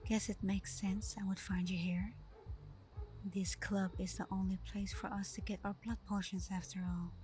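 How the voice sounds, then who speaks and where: A woman playing a caring, hurt vampire girlfriend in an ASMR roleplay, speaking softly and tenderly, often half whispering, with hesitant pauses. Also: medium pitch, flat delivery, slight background noise, natural voice. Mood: worried, hurt. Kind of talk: ASMR roleplay, intimate scripted acting.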